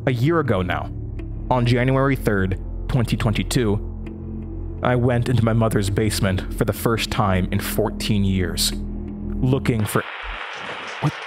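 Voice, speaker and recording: An American man in his twenties playing a weary, sardonic Midwestern horror radio host in an audio drama, with a dry, halting manner. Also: on the low side, lightly acted. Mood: reluctant, uneasy. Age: 20s. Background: music behind the voice.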